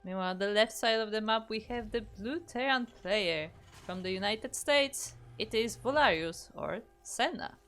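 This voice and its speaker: A woman's voice: fairly high pitch, lively intonation, normal speed, a bit bright, faint music behind. A woman from Poland with a Polish accent, casting a live game tournament in a chatty way, often restarting her sentences. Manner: chatty, reads out chat, frequent restarts. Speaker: esports caster.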